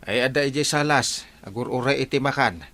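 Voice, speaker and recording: A man's voice: medium pitch, fairly quick, fairly bright tone, no echo, lightly acted. A man with a northern Philippine accent playing a grumbling, henpecked village captain in an audio comedy, protesting and stammering. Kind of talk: comedy audio drama acting.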